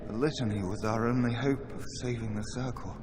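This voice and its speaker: Noisy ambience sits behind a weary male mage of middling pitch, voiced by an actor. He sounds trapped and hopeless, speaking with halting, bitter resignation in dramatic fantasy game dialogue. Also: natural voice.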